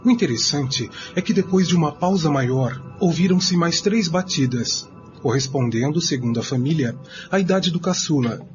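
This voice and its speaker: Narrador brasileiro, gravação abafada. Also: male voice, a little high, animated, normal speed. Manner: formal, addresses listeners as friends. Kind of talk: scripted radio drama narration. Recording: muffled radio recording with music.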